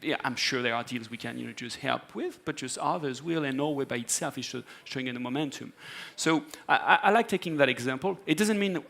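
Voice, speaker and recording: A man's voice: mid-range voice, brisk, vocal fry, fairly bright tone. A man speaking off the cuff on a conference panel, arguing his point with frequent repeated filler phrases. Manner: filler-heavy, repeats "you know, just". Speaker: panelist, data center energy executive. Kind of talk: panel discussion, unscripted argument.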